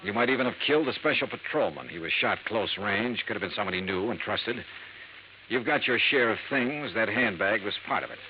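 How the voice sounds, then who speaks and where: An American actor playing a cool, probing insurance investigator in a 1950s radio noir drama, clipped and matter-of-fact. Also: male voice, lowish voice, animated, a little fast, close to an everyday voice. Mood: cool, pressing. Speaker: lead actor and narrator. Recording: muffled, noisy vintage radio recording.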